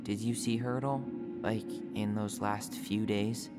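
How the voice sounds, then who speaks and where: A man's voice: fairly low pitch, steady tone, unhurried, close to an everyday voice. An actor playing an easygoing, sincere young man in a naturalistic video game drama. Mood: serious. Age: young adult. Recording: loud music behind the voice.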